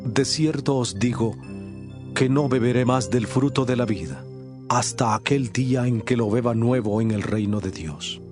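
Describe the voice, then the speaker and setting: A man playing a grave, authoritative male religious teacher in a dramatised scripture audiobook, speaking in a solemn, measured way. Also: medium pitch, music in the background, close to an everyday voice.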